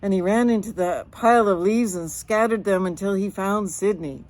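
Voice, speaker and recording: A woman's voice: mid-range voice, normal speed, a little mellow. A woman reading a children's picture book aloud with chatty asides, stretching words and sound effects expressively.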